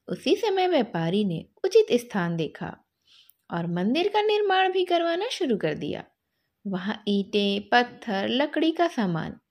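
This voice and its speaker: A woman telling a story to children expressively, in a gentle, teaching way. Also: a little high.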